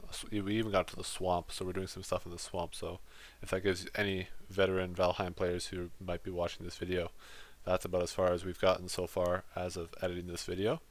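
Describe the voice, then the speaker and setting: A man hosting a gaming channel, talking through his let's play footage in a casual, rambling monotone. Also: deep voice. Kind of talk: let's play commentary voice-over.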